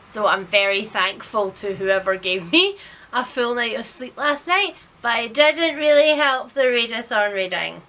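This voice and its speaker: Bright, high-voiced woman chatting casually. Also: a little slow. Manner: chatty, self-deprecating, expressive. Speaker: vlog host. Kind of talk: casual vlog chat about a reading marathon. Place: indoors at home.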